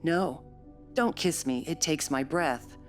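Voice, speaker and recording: A woman's voice: sits low, takes it slowly, soft background music. A woman voicing a peevish, sickly teenage boy who whines and complains fretfully in an audiobook story. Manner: whining, complaining.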